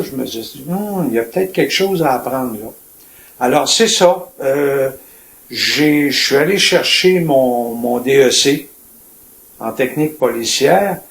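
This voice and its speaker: An older man in his seventies from Quebec, with a Quebec French accent, telling his life story in a reflective, colloquial, measured way as a retired police officer. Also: mid-range voice. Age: 70s. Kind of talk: personal storytelling in an interview. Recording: echoey room with faint noise.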